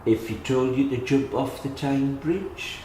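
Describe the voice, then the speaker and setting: An actor playing a gentle, philosophical old grandfather with a Geordie accent, plain-spoken and reassuring, in a radio drama. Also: medium pitch, a little slow, natural voice. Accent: Geordie, north-east England. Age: older. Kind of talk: naturalistic radio drama acting. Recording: roomy sound with faint background noise.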